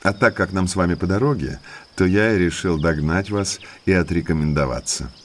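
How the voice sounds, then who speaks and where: A man's voice: low-pitched, brisk. An actor playing an affable, inquisitive country naturalist in his thirties in an audio drama, courteous, talkative and slyly probing. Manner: courteous, talkative, insinuatingly polite.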